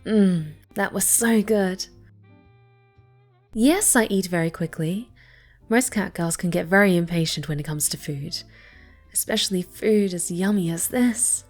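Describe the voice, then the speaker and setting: A woman plays a young cat girl in an unhurried ASMR roleplay, with faint music behind her. She is haughty and pouty, a spoiled brat who stammers when flustered and has a soft, needy side underneath. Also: lowish voice. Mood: greedy, pouty.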